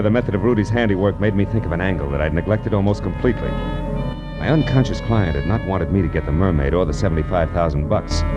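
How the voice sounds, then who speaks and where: An actor playing a tough, wry private detective in an American radio drama, with a clipped, slangy delivery and an American accent. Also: male voice, sits low, lo-fi sound, lightly acted. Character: tough, wry male private detective narrating his case. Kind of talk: old-time American radio drama acting and narration. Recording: vintage radio recording with music.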